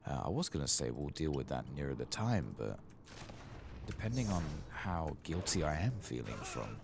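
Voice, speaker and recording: Very deep soft-spoken ASMR man. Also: very animated, normal speed, vocal fry, noisy background. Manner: murmured, drifting into whispers. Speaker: gaming video host.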